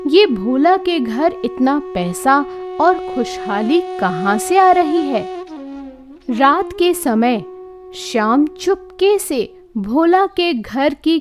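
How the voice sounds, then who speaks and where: A woman narrating a scripted devotional moral story in an expressive storytelling manner. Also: high-pitched. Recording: music bed behind narration. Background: music.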